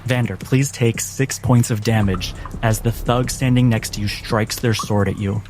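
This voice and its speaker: A man acting as game master, narrating a tabletop game with dramatic, deliberate phrasing. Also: fairly low pitch, steady tone. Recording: music behind the voice. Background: background music.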